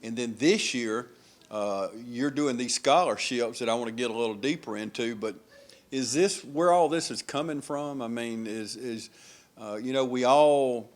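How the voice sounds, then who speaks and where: A man's voice: mid-range voice, normal speed. Male local TV host, folksy and chatty, easygoing questions. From Dublin, US. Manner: folksy, rambling, affirming. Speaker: TV interviewer. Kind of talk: local TV interview.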